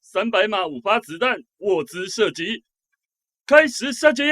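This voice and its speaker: Stern army range officer, very high-pitched barked orders, piercing edge, radio drama. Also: male voice, lively intonation, fairly bright tone, a little hiss or hum. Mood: commanding. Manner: barking drawn-out military commands. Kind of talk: Taiwanese radio drama acting.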